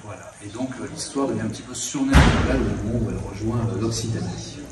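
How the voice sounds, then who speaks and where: A man from southern France, a reporter and first-time novelist, talking in a relaxed, lightly joking way. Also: lowish voice, fast. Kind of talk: festival interview. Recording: distant mic, echoey hall, crowd noise.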